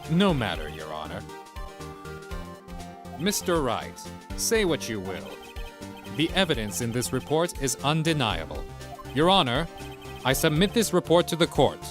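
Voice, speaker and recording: A man's voice: medium pitch, big pitch swings, unhurried, long pauses, over music. A man playing a smug, composed prosecutor. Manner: composed, condescending. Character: cool, smug male prosecutor.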